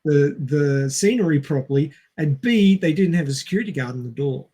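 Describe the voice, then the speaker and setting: A man with a fairly high voice chats as a podcast co-host. His talk is casual film discussion, explanatory, working through his reasoning point by point. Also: expressive, fairly quick, mellow.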